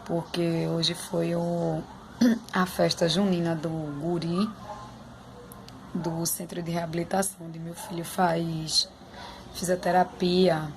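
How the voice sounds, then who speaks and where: A young woman speaks straight to her viewers in a warm, chatty, heartfelt way. Her lowish voice is slightly hoarse and has a gravelly edge, and a faint hiss sits under the recording. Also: level tone, slow pace. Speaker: vlogger. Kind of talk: emotional personal vlog.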